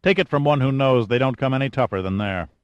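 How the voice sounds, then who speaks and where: A man's voice: medium pitch, fast talker, no echo, muffled recording, pitched above the performer's own voice, close to an everyday voice. A man voicing a huge, brutal American woman who was a prison guard, harsh and full of contempt. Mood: gloating.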